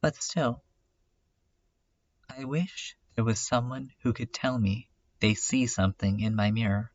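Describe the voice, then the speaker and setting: A brooding, self-doubting dreamer, acted by a man speaks in a mid-pitched voice. The delivery is unhurried and halting, like a poetic confession in a fan-dubbed webcomic drama. The recording is dull and lo-fi. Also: darkish tone, no echo, close to an everyday voice. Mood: wistful, wounded. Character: brooding, self-critical dreamer musing on art and self. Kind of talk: slow introspective dramatic monologue in a webcomic fan dub.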